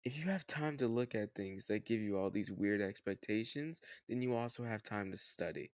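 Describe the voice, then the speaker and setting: Male actor as slightly older home tutor for romantic audio roleplay: lowish creaky voice, close dry sound, teasing, patient, encouraging. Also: moderate pace, no room sound, close to an everyday voice. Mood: composed, teasing. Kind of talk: scripted romantic audio roleplay, addressed to the listener.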